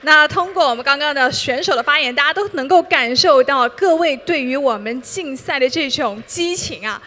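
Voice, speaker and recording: A woman's voice: sits high, moderate pace, sharp tone. A woman hosting a live esports event on stage, introducing players in Mandarin and English with upbeat, formal announcer phrasing. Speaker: bilingual event emcee.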